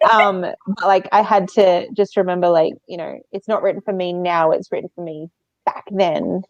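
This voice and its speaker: A woman's voice: lowish voice, a little slow, no echo, lo-fi sound. A woman co-hosting a book discussion in a giggly, informal, conversational way. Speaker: book podcast co-host. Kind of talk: casual book discussion chat.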